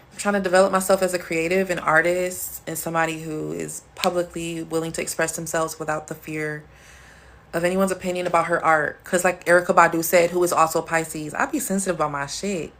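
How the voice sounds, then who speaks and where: Deep-voiced woman chatting on a livestream. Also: normal speed, a bit bright, a little hiss or hum. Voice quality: deep, smooth, sultry voice. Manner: playful and chatty, with bursts of high laughter. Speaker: livestream host. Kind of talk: casual livestream chat, answering comments.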